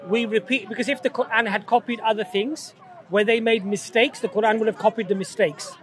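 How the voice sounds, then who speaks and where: High-voiced man lecturing on the street. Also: steady tone, fairly quick, fairly bright tone, some chatter behind. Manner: assertive, lecturing, rhetorical questions. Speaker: street preacher. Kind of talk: street debate. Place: city street outdoors.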